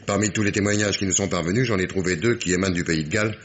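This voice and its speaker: An actor playing a sardonic, dogged police inspector in a French radio mystery play, with ironic, emphatic diction. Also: male voice, low voice, rapid-fire, slight background noise, lightly acted. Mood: deferential, reporting.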